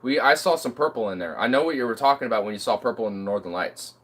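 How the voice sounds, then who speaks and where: A man casually chatting with friends on his stream, animated and quick to laugh. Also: mid-range voice. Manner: animated, talks over others, laughs easily. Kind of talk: casual chat among friends.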